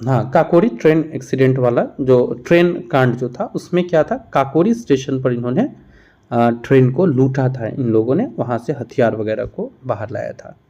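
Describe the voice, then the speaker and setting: A man's voice: medium pitch, normal speed, dark tone. A man teaching an exam-prep lesson, reading out questions and explaining the answers. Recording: dull, muffled lo-fi sound.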